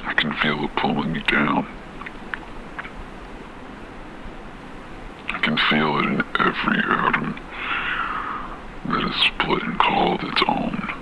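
Over faint hiss and noise comes an actor as a haunted, disoriented man with an extremely deep voice full of gravelly fry. He talks haltingly and broodingly, as if giving in to fate in a horror game tape. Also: exaggerated voice. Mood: resigned, entranced. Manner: halting, hesitant, brooding delivery with trailing repetitions. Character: disoriented, haunted man slipping into dreamy, fatalistic surrender. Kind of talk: horror game taped monologue.

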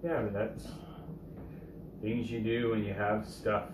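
Middle-aged man doing a low-key home cooking demo, slightly deep, recorded by a camera across an echoey kitchen. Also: flat delivery, slow. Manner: low-key, tired, self-deprecating. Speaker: home cook. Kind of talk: casual cooking demonstration. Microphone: camera across the room. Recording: echoey kitchen with background noise.

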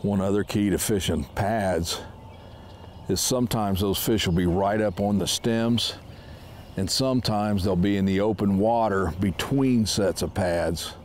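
A man in his fifties with a lowish voice talks through his fishing technique in a relaxed, easygoing way. He is out on a lake in a small boat, recorded on a close camera mic, with a faint outdoor hush behind him. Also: a little slow, slight background noise. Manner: relaxed, explaining as he fishes. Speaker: fishing show host. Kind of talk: casual instructional talk while fishing.

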